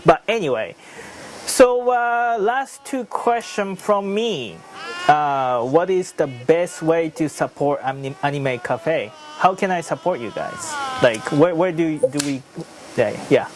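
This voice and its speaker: Slow, low-voiced host on a live stream. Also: female voice, lively intonation, a little hiss or hum. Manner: halting, restarts often. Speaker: host and sound engineer. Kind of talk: live-stream interview hosting.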